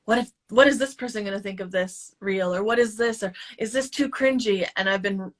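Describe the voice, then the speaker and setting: A woman's voice: medium pitch, brisk. A woman hosting an interview, asking rambling questions with many "like" fillers. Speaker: podcast host. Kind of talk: casual conversational interview.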